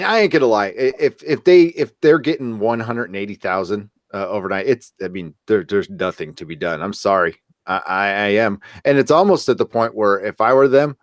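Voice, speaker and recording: A man's voice: on the low side, dry recording, clean studio sound. A man hosting a podcast in casual discussion, speaking bluntly and informally and repeating words for emphasis. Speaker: podcast host.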